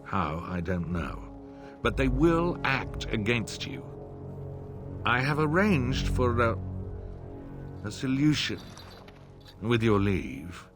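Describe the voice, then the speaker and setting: An actor playing a scheming advisor to a lord in a fantasy video game, speaking in a measured, deferential, insinuating way. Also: male voice, mid-range voice, big pitch swings. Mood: sly, deferential. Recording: game audio under music.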